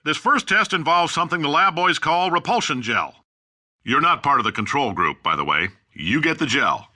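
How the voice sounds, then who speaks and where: A man's voice: fairly high pitch, big pitch swings, normal speed, bright, forceful tone, heavily acted. An actor playing a brash, callous older company boss in a video game, with folksy salesman bluster and blunt dry asides. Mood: brash, upbeat. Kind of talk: scripted video game voice acting, recorded announcement.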